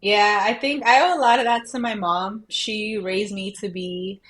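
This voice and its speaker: A woman from Colombia, an educator and coach, talking casually in an interview, animated and laughing often, with lots of "like" fillers. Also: mid-range voice, normal speed.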